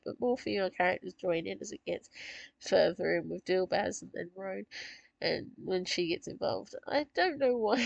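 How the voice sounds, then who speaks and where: A woman with a croaky, gravelly voice from a sore throat, chatting casually about characters she wrote, rambling with fillers and small laughs. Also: medium pitch, no echo. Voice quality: gravelly, husky. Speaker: author discussing her characters. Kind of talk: casual chat.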